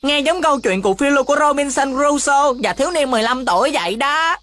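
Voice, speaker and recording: A woman's voice: high voice, expressive, quick pace, a bit bright, dry recording. A woman voicing an earnest, bossy and easily flustered little boy in a dubbed cartoon. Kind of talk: dubbed children's cartoon acting. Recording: faint music behind the voice.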